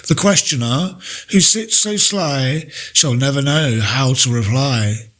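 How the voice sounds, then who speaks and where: A man reading poetry aloud in a deliberate, measured way with pauses between phrases. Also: mid-range voice, fairly bright tone. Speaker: poetry reader. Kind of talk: poetry recitation, read aloud.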